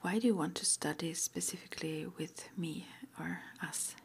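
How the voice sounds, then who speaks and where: A woman whispering an ASMR roleplay interview, playing a witch school interviewer with gentle, reassuring, hesitant phrasing. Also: on the low side, moderate pace, creaky, a little hiss or hum.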